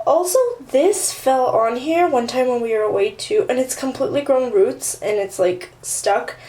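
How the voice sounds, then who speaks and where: A woman's voice: sits high, moderate pace, dark tone. A teenage girl with a slight Armenian Russian accent, chatting casually with lots of fillers while showing viewers around her home. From southern California. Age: teens. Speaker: vlogger. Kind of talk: casual plant tour vlog. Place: apartment room and bathroom, indoors.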